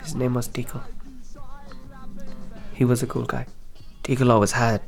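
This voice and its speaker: An actor playing a guarded, earnest young East German activist in a radio drama, speaking in an intense, halting way. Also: male voice, medium pitch, little pitch movement, creaky, faint music behind, lightly acted. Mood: grim, grieving.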